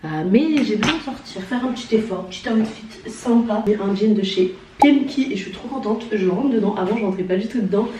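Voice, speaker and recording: A woman's voice: mid-range voice, a little fast, few pauses, noisy background. A woman in her late twenties from southern France, chatting casually in a vlog with many fillers and self-mocking asides. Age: late 20s. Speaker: vlogger. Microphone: handheld camera.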